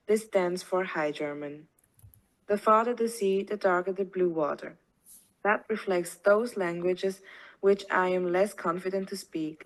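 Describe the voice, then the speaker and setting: A woman whose mother tongue is Cantonese reads her prepared voiceover slowly and calmly. Her voice sits somewhat low for a woman. It comes across as a recording played during a talk. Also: rough recording. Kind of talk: read voiceover of a personal language reflection.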